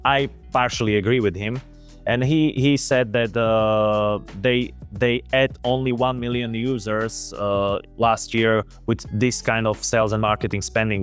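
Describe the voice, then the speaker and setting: A man with a Slovak accent, a native Slovak speaker, hosting an investment video in halting English. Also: medium pitch. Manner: halting, many fillers and restarts. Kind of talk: casual video commentary.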